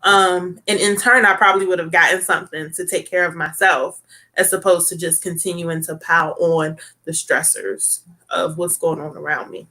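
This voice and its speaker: A woman hosts a relaxed talk show, speaking with a bright, forward tone. She chats casually, tossing in fillers and asking "right?" as she goes. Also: on the low side, moderate pace. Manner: chatty, with many fillers and tag questions. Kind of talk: casual chat.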